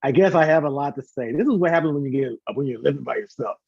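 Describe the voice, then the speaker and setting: A man talks quickly in a casual, excitable way, rambling warmly and effusively as a guest. His pitch sits around the middle for a man, and the sound is dry but muffled, like lo-fi internet audio. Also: vocal fry, breathy edge, no room sound. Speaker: guest, singer and concert organiser. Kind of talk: casual live chat, talkative promotion.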